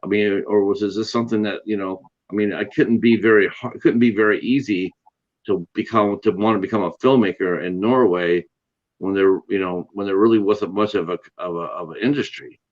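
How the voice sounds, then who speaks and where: A man's voice: lowish voice, steady tone, fairly quick, lo-fi sound. A man hosting an interview, asking long, rambling questions with eager enthusiasm. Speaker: interview host. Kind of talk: casual interview chat.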